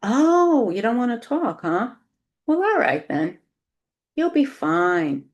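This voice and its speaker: A woman's voice: a little high, very animated, takes it slowly, voice pushed up. An older mother played by a woman reader holds forth on the phone in a story. She is brash, blunt and wrapped up in herself, talking over others, with a voice that rises often. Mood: breezy. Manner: brash, monologuing, interrupting. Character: self-absorbed, chatty, blunt aging mother on the phone.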